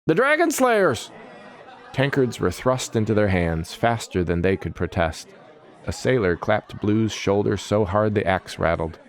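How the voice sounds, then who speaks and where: A man giving dramatic scripted narration of a fantasy story as its storyteller. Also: low-pitched.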